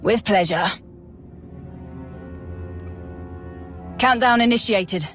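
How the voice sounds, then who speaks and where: A woman's voice: mid-range voice, moderate pace, fairly bright tone, faint music behind, natural voice. A woman playing a cocky, wisecracking Imperial military officer in a video game, with a breezy, plain-spoken, sardonic manner. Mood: brisk.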